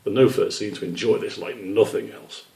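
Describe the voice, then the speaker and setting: Male author narrating children's storybook, creaky low register, roomy sound. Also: fairly even intonation, normal speed, darkish tone. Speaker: author reading his children's book. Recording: roomy echo, distant microphone.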